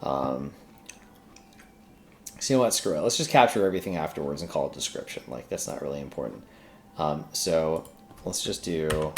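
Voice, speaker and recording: A man hosting a casual coding tutorial, talking informally and hesitantly as he thinks aloud while working. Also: low-pitched. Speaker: host of a programming tutorial. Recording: noticeable background noise, keyboard typing.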